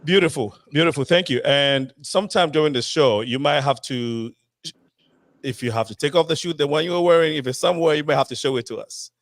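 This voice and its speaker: A man's voice: fairly high pitch, fairly quick, no echo. Man hosting a panel, dry sound. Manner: long rambling questions with fillers. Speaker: talk show host. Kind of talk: panel discussion moderating.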